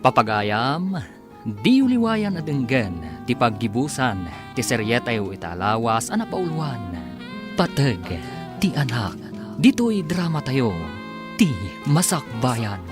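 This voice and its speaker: A man's voice: mid-range voice, big pitch swings, slow pace. A man announcing and acting in a radio drama. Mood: dramatic announcing. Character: man teasing his hardworking male buddy. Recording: music behind the voice.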